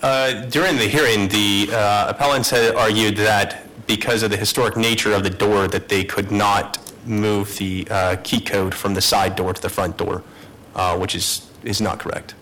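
A man's voice: lowish voice, fairly even intonation, moderate pace, fairly bright tone, rough recording. A man, a city staff investigator, giving a matter-of-fact report at a public hearing.

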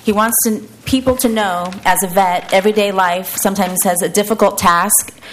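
A woman's voice: medium pitch, steady tone, moderate pace, a little hiss or hum, poor audio. A woman hosting an event, introducing a speaker from notes. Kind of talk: speaker introduction, partly read.

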